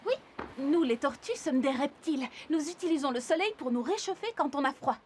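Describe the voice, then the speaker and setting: A woman's voice: sits high, animated, brisk, noisy background. A woman voicing a proud but friendly female tortoise in a children's cartoon, explaining things simply.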